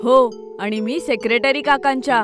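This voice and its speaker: An eager young cartoon boy, acted out by a woman, with a fairly high voice. He sounds clever and playful as he earnestly explains himself, with music playing behind. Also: fairly even intonation, normal speed, close to an everyday voice. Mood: eager, confident. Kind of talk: children's cartoon story dub.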